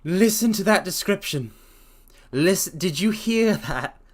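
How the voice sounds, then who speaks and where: A man streaming a dramatic reading of a story, breaking off to chat excitedly, often stammering and restarting his sentences. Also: high-pitched, measured pace, slightly rough.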